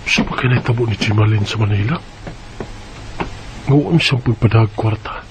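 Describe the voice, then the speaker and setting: A man's voice: lowish voice, moderate pace, noisy background, natural voice. An actor playing a worried, resigned husband and father in an earnest radio drama. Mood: troubled. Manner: earnest, hesitant. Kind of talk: radio drama acting with first-person narration.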